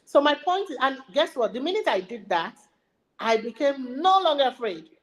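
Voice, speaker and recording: Nigerian-accented woman, motivational speaker, fairly high voice, emphatic, repeats key lines. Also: very animated, moderate pace, poor audio. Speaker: learning and development speaker. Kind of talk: motivational webinar talk.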